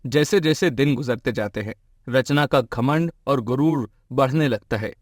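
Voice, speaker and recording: Casting: male narrator for moral tales, mid-pitched voice, scripted storytelling, very dry recording. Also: a little slow, dry recording.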